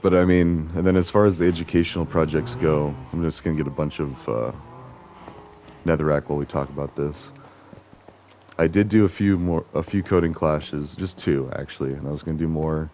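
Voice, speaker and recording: A man with a gravelly voice, chatting casually and rambling as he plays a video game, often correcting himself. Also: rumbling low, steady tone, brisk, mellow, slight background noise. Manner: chatty, meandering, self-correcting. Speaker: gaming video host. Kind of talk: casual rambling commentary while playing.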